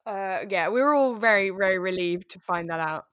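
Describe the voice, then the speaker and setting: A British woman co-hosting a podcast in a casual, animated chat, slipping into mock quotes as she talks. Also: medium pitch, brisk, clean tone, no echo, studio quality. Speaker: podcast co-host. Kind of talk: casual chat, reviewing a radio drama.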